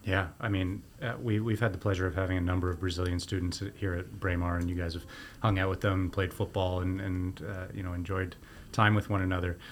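A man with a fairly low voice hosts an interview podcast. He speaks conversationally to his guests from a sound studio. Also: little pitch movement, brisk, slight background noise. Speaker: podcast host. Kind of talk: scripted intro and conversational interviewing.

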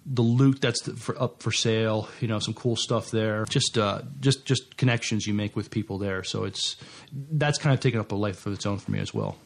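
Low, gravelly man in casual conversation. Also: sing-song, normal speed, few pauses. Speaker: podcast co-host. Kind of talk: casual chat.

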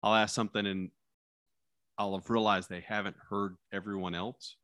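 A man who is a criminal defense lawyer talking casually about trial practice, with a loud, carrying voice, acting out courtroom lines and dropping his voice low for effect. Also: normal speed, dry recording, studio quality. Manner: animated storyteller, acts out courtroom lines, drops voice low for effect.

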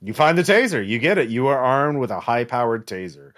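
A man running a tabletop horror game as game master, narrating casually and haltingly with sudden exclamations. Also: mid-range voice, very animated, a bit bright.

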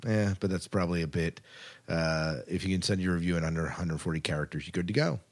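A man's voice: deep voice, fast talker. A man hosting a podcast in a casual, informal, rambling way. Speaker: podcast host. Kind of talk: casual sci-fi podcast talk.

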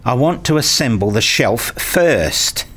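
A man's voice: mid-range voice, lively intonation, a little slow, a bit bright. A man playing a self-deprecating, nerdy father who tells his own story in a radio play in wry, formal wording. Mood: reassuring. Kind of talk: first-person radio play narration.

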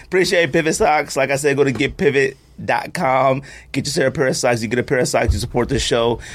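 A man hosting a sneaker podcast, joking around with friends and reading the sponsor spot in a loose, animated way. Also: medium pitch, quick pace. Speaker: podcast host. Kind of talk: casual chat.

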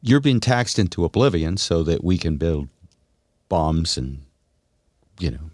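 A middle-aged man with a low voice talks as a radio host in a dry, close recording. He muses and explains his opinions in a casual, unhurried conversational style. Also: big pitch swings, darkish tone, no room sound. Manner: explanatory, musing.

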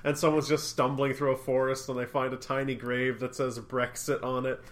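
A young man co-hosting a casual comedy podcast while sick, trading deadpan absurd jokes. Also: medium pitch, moderate pace. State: sick with a cough. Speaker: podcast co-host.

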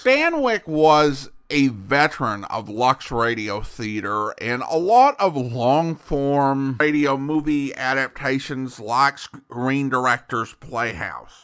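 A man hosting a show with informal, conversational commentary, explaining in a halting way. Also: on the high side, sharp tone. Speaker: podcast host. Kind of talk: conversational radio-history commentary.

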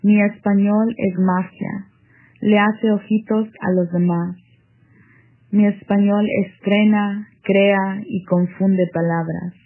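A woman reciting a poem slowly, switching between Spanish and English. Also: mid-range voice, steady tone. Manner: slow, measured poetic recitation mixing Spanish and English. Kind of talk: reading a poem aloud. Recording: tinny phone-like line.

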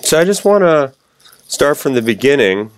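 A man hosting a podcast, reading the intro and a sponsor ad clearly and steadily, then asking his guest questions. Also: mid-range voice, moderate pace. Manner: clear, steady read; spells out web addresses. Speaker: podcast host. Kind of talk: podcast intro, ad read and interview.

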